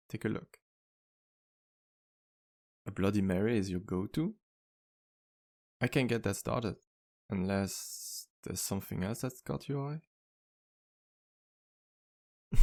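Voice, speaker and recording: A man playing a charming, flirtatious vampire bartender in an ASMR roleplay, speaking in a courteous, teasing, intimate way with unhurried pauses. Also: fairly low pitch, darkish tone. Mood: courteous, inviting. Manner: smooth-talking, courteous, playful, unhurried pauses. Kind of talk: ASMR roleplay, intimate one-sided dialogue.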